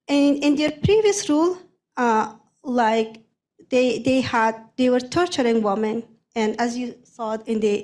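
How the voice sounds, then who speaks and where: A young adult woman from Afghanistan, a former prosecutor speaking as a panel guest, talking in halting phrases with many restarts and repeated words. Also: fairly high pitch, darkish tone. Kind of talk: panel discussion answer.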